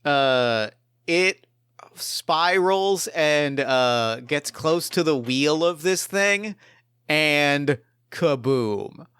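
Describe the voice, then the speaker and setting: A man's voice: a little high, animated, extremely slow, a bit bright, clean tone, dry recording, studio quality. A man acting as game master, narrating an improvised tabletop game casually and thinking aloud in colloquial language.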